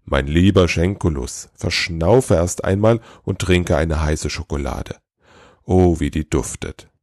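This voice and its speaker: A man's voice: sits low, animated, moderate pace, a little mellow, no room sound, studio quality. A man voicing a weary, kindly old Santa Claus in a storybook tale, ponderous and soothing.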